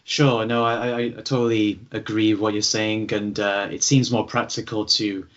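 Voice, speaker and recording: A male podcast host chats hesitantly, full of fillers. His voice sits low and flat, with little melody. The sound is slightly dull and lo-fi. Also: moderate pace. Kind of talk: podcast interview chat.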